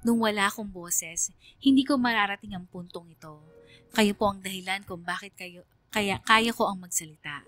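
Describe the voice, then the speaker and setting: A woman playing a quiet, grateful young student, narrating her own story in an earnest, reflective, sentimental radio drama style. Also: medium pitch, lightly acted. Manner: earnest, reflective, deliberate delivery.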